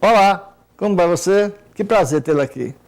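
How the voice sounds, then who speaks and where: A male TV host giving a formal introduction and then asking his guest gentle, courteous questions. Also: high voice, expressive, normal speed. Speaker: TV program host. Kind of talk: TV interview.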